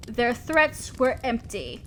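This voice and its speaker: A commanding female demon boss, acted by a woman, with a high voice and a theatrical, imperious delivery. She scolds and teases like a ruler who still protects her own. The audio roleplay has faint ambient noise behind it. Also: big pitch swings, slow, fairly bright tone, heavily acted. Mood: stern, probing. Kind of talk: scripted audio roleplay addressed to the listener.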